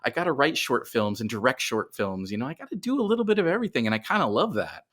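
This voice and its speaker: A man's voice: mid-range voice, big pitch swings, quick pace, a bit bright. A middle-aged man speaking casually as a guest in a podcast interview, with self-deprecating humour. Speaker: guest, writer.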